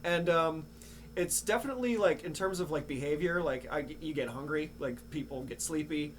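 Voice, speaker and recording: A man's voice: a little high, moderate pace. Man, podcast host, laid-back rambling talk, swears freely, faint hum and noise behind. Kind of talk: casual podcast chat. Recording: clear hum and background noise.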